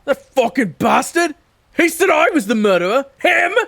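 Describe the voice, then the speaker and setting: A man's voice: extremely high pitch, sing-song, moderate pace, vocal fry, a bit bright, full character voice. A man playing a foul-mouthed, defensive widowed villager in an audio roleplay, given to indignant swearing. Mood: angry.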